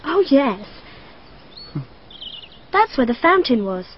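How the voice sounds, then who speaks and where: A precocious, dreamy 12-year-old girl talks about dark things with calm earnestness. Her voice is high and very lively in pitch. The archive radio sound is dull with faint hiss. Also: slow pace, heavily acted. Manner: earnest, matter-of-fact, odd.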